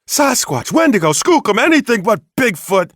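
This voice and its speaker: A man voicing an excitable, pushy male Bigfoot hunter who talks in a shrill, frantic, indignant way, in an audiobook. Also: fast, no echo, clean studio sound, natural voice.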